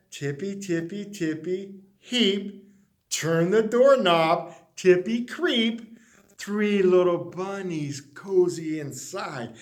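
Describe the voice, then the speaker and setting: A man reading a children's picture book aloud, expressive and dropping to a hush on the refrains. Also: sits high. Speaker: storyteller.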